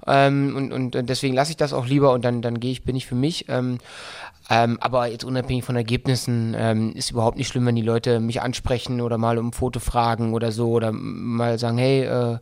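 A middle-aged man with a light Berlin accent, a football coach talking in a casual interview, halting with many restarts and fillers. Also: medium pitch, little pitch movement, darkish tone, clean tone. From Berlin-Brandenburg.

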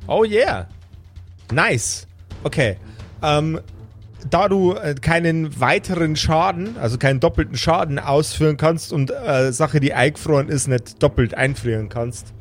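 A man with a slightly high voice runs a tabletop role-playing game. He improvises his narration in halting, deliberate phrases. Faint music plays behind him. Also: expressive. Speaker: game master.

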